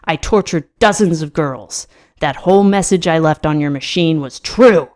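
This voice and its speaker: A woman's voice: sits low, moderate pace, darkish tone, close to an everyday voice. A woman voicing a desperate, sneering young man in an audiobook, with insistent, emphatic delivery. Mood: desperate insistence.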